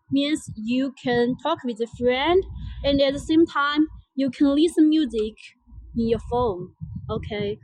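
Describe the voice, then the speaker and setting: A woman giving a product demonstration outdoors near a road, in halting, simple phrasing with many pauses. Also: on the high side, low-quality recording. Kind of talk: product demo walkthrough. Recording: loud traffic noise outdoors.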